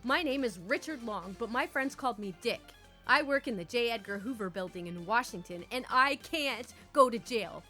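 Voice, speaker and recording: Anxious male caller played by a woman storyteller, high and animated, pleading with overblown panic. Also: normal speed, soft background music. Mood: earnest, indignant. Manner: pleading, overdramatic. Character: anxious man feigning panic to a phone scammer.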